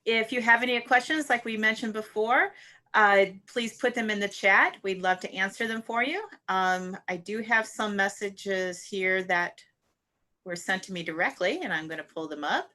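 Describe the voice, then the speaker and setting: A woman with a bright, crisp-edged voice moderates a live Q&A. Her pitch is average and her hosting is casual and conversational. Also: moderate pace. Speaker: moderator of an audience Q&A.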